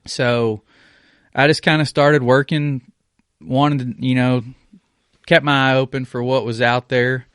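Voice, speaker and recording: A man's voice: mid-range voice, flat delivery, measured pace, long pauses, a bit bright, clean tone, no echo, clean studio sound. Laid-back plainspoken cowboy-type man. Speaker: podcast guest. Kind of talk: casual interview chat. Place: podcast studio.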